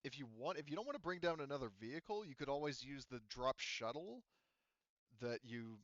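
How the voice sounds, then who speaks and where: A man acting as game master, narrating a roleplaying game in a halting, conversational, improvised way. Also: mid-range voice, expressive, fairly bright tone, no echo, dull audio.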